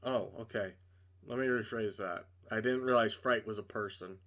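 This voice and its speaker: A man's voice: fairly low pitch, steady tone, moderate pace, a bit bright. A man reading a visual novel aloud as a streamer, narrating dramatically and breaking off into casual, joking asides to correct himself.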